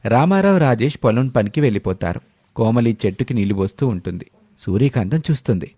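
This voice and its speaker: A man's voice: medium pitch, expressive, fast talker, dark tone, husky, no room sound. Man narrating a scripted story, dry sound.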